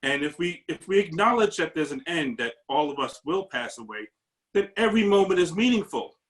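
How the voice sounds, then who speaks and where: A man's voice: fairly high pitch, lively intonation, a little fast, poor audio. A man, a paramedic, sharing an earnest, searching reflection in a discussion over a video call. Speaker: paramedic audience member.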